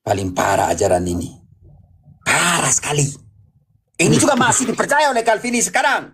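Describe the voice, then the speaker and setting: Higher-pitched man teaching the Bible, emphatic. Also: animated, a little fast, fairly bright tone, husky. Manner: emphatic, repeats phrases, prompts a reader and asks questions. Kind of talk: interactive Bible teaching, apologetics.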